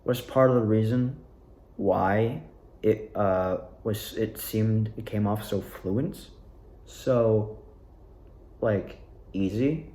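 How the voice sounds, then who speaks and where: A man's voice: fairly low pitch, takes it slowly, long pauses, mellow. A young adult man rambling casually and candidly about his own experiences, like a student actor giving a personal recap. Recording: roomy, echoing room sound.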